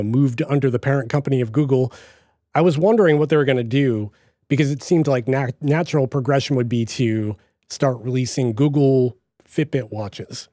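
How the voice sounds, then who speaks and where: A man's voice: medium pitch, dark tone, no room sound, studio quality. A young man with a slight Boston accent, hosting a solo podcast in a chatty, rambling way with frequent self-corrections. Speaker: podcast host. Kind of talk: casual solo podcast monologue.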